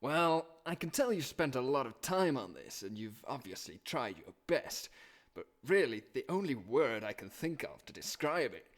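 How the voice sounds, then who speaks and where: A man's voice: mid-range voice, big pitch swings, normal speed. A man voicing a grumpy, disdainful worm hunter in a puppet musical, with sardonic, exasperated delivery. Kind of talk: theatrical puppet show dialogue.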